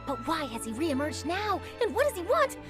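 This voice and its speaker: Young scoop-hungry reporter, high voice, lively swings, upbeat, game music. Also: female voice. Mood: eager. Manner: brisk, upbeat, theatrical. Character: eager young woman reporter chasing a scoop. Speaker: journalist. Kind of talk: video game voice acting. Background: game score music.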